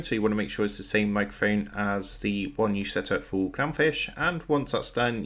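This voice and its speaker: A man with a fairly low voice hosts a how-to video. He narrates in a scripted instructional style, guiding the listener step by step. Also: fairly bright tone. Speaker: tutorial host.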